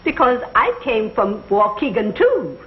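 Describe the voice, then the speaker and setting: A woman playing a sweet, excitable elderly American grandmother in a television comedy sketch, speaking in an exclamatory way. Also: on the high side, measured pace. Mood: sweet, eager. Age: older. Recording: muffled old TV soundtrack, loud noise.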